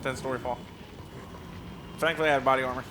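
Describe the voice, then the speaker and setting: A man's voice: medium pitch, fairly even intonation, quick pace, background noise. A man giving casual, sarcastic and profane commentary as he plays a video game. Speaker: let's play host playing the game.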